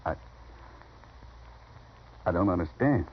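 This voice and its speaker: An actor playing a meek, bewildered, frightened cowboy, halting and placating, in a 1950s radio western. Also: male voice, low-pitched, lively intonation. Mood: nervous. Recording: noisy, muffled 1950s radio recording.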